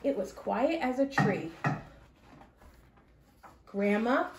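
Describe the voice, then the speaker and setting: Expressive woman storyteller in an echoey room. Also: on the high side, normal speed, a little hiss or hum. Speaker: storyteller reading aloud. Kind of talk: expressive children's story reading.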